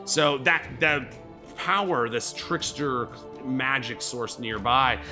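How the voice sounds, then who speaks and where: A man's voice: medium pitch, slow pace, over music. A man improvising narration as the game master of a live tabletop game, casual, slangy and jokey.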